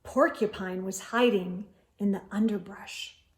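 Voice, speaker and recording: A woman reading a children's storybook aloud as host, in an expressive storytelling manner. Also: medium pitch, a little slow.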